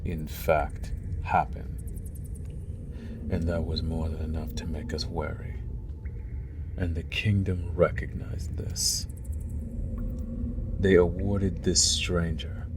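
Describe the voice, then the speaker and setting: Very deep, slow, menacing male dragon played by a man. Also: background noise. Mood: brooding, bitter. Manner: slow, deliberate, contemptuous, breaking into breathy near-whispers. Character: angry, proud male dragon hybrid, menacing conqueror. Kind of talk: ASMR roleplay monologue addressed to the listener.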